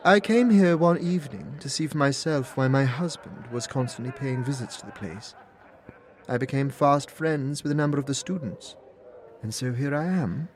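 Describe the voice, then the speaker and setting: A man's voice: normal speed, lightly acted. A man voicing a gracious older noblewoman in a fan audio drama, speaking formally and courteously. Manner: courteous, formal, high and sing-song.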